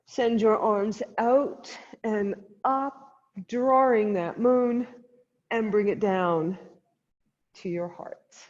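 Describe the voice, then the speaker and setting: A woman leading a guided chair yoga class as the instructor, giving gentle step-by-step cues with pauses, in a muffled, reverberant recording. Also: mid-range voice. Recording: dull sound in a long-reverb hall.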